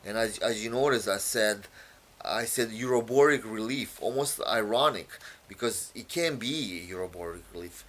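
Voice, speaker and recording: A man from Romania, whose first language is Romanian, explains his own poem in a halting way, full of fillers and repeated words. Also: on the low side, fairly bright tone. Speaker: poet.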